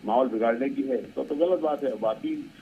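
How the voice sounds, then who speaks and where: A man's voice: mid-range voice, moderate pace, a little hiss or hum. An Indian man speaking as a party spokesperson in a live news interview, insistent and legalistic, over a muffled remote link. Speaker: party spokesperson, panel guest.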